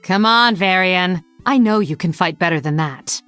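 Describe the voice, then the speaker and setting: A woman performs a proud female blood elf rogue from a fantasy video game. Her voice sits fairly low, with a dry, haughty, cutting delivery and sardonic teasing, set over background music. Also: moderate pace, fairly bright tone, clear, resonant tone, no room sound, lightly acted. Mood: scornful, menacing. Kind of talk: video game hero voice lines.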